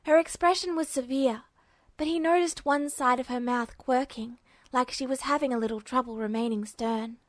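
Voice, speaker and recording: A woman's voice: high-pitched, fairly even intonation, normal speed, no room sound, clean studio sound. A woman reading fan fiction aloud as a scripted story narration. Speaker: narrator, podfic reader.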